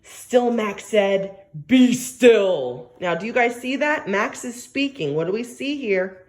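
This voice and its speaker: A woman teacher reading a story aloud to young children, expressive and coaxing, often asking questions and stressing key words. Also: a little high, a little slow. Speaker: primary school teacher.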